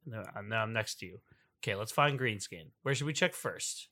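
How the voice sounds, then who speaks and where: Bright, animated man playing a tabletop game. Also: on the low side, brisk. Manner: giggly, rambling. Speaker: tabletop player. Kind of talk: casual game chat.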